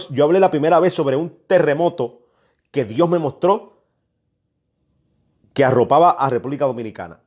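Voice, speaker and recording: Higher-voiced man preaching with emphatic repetition. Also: darkish tone. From New York, United States. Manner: emphatic, repeats phrases, admonishing. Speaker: preacher delivering prophecy. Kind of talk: prophetic sermon, exhortation.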